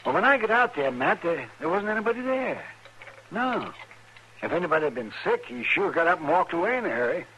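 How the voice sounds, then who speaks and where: An actor playing a chatty, crusty older town doctor with a raspy voice and folksy manner in a radio Western. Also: male voice, high-pitched, brisk, a little hiss or hum, full character voice, rough recording. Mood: puzzled, chatty. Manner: animated, folksy storytelling. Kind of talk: old-time radio Western acting.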